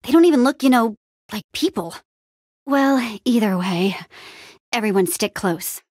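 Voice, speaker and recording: A woman playing a plucky, bossy but encouraging young adventurer heroine in a video game, with an expressive, casual, peppy delivery. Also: a little high, a little slow, no echo, studio quality. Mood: focused, determined. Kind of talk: animated adventure game dialogue.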